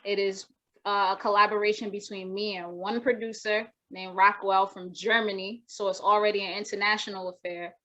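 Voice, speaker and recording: Brief: young woman, soft voice, flat delivery, halting and rambling, lo-fi video call audio. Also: mid-range voice. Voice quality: naturally soft voice. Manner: rambling, many restarts and fillers. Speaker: rapper guest. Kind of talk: casual video-call interview. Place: home room with wooden shelves.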